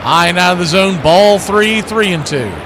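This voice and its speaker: A fairly high-voiced man gives live radio baseball commentary. He is folksy and conversational, slipping casual asides between pitches. There is clear background noise behind him. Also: moderate pace. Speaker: baseball play-by-play announcer. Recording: noisy ambience behind the voice.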